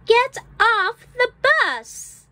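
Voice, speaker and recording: A woman reading an early phonics book aloud for children as a teacher, enunciating each word deliberately and carefully. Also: very high voice, sing-song, bright, forceful tone, no room sound. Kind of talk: slow read-aloud of an early reader for children.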